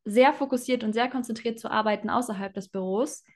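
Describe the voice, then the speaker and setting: A woman's voice: a little high, fairly quick. Reflective young woman, chatty guest. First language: bilingual German and Spanish. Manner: reflective, many fillers, laughs often. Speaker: guest, self-employed in personal branding. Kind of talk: casual interview conversation.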